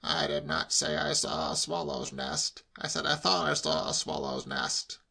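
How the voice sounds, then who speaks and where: Man doing a creaky, testy older gentleman. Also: on the high side, huge pitch swings, measured pace, full character voice. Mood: exasperated. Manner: testy, strained, emphatic. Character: irritable, exasperated older English gentleman uncle. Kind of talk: comic audiobook character voice.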